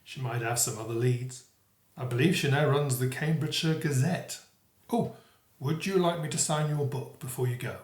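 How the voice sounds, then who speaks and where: A man performs an elderly retired policeman in an audio drama. He is kindly and wistful, with a modest, hesitant way of recalling old cases. His mid-pitched voice sits in a roomy, echoing space. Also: moderate pace, lightly acted. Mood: friendly. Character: kindly elderly retired police sergeant turned true-crime author.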